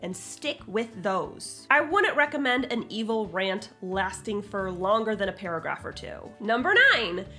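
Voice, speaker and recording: A woman's voice: medium pitch, a little slow, few pauses, pushed and bright, faint music behind. A woman host presenting scripted writing advice in a blunt, sassy, emphatic way with punchy delivery.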